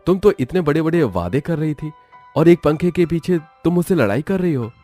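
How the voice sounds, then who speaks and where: A man playing an earnest young husband of modest means, pleading and sincere, in an animated family story. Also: on the high side, moderate pace, faint music behind, close to an everyday voice. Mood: defensive.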